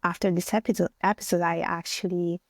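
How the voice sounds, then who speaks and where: Woman with an Italian accent, slightly creaky. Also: lowish voice, flat delivery, fairly quick, no room sound, studio quality. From Italy. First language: Bengali and Italian. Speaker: podcast guest. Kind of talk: conversational personal storytelling.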